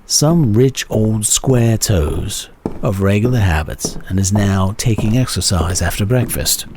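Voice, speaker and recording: A man giving a dramatic audiobook reading of old-fashioned prose, with measured, expressive phrasing. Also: fairly low pitch, background noise. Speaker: reader of a classic short story.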